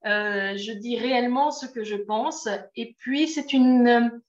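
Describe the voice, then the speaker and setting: A woman hosting a podcast interview, effusive and warm toward her guest, laughing often over a video call. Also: fairly high pitch, takes it slowly. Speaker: podcast host. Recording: echoey, muffled lo-fi call audio.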